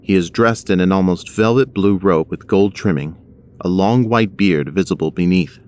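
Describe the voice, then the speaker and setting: A man's voice: sits low, moderate pace, darkish tone. A man narrating a scripted action audio drama.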